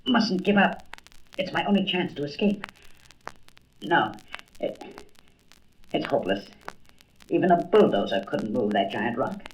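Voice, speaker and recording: A man's voice: a little high, sing-song, unhurried, pauses often, lo-fi sound. A man playing a frail, earnest, anxious doctor who thinks aloud dramatically in a comic-book audio drama. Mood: desperate. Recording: faintly noisy old record.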